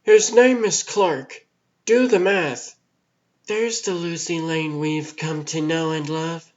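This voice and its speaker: Performer voicing an exasperated, sardonic young woman, slow and muffled. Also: mid-range voice, darkish tone, lightly acted. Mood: wry. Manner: sarcastic, emphatic. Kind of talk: comic dub character acting.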